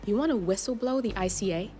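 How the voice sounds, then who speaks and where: A woman's voice: mid-range voice, fast, lightly acted. A woman playing a blunt, sardonic, streetwise hacker in a cinematic video game. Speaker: hacker ally. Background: music.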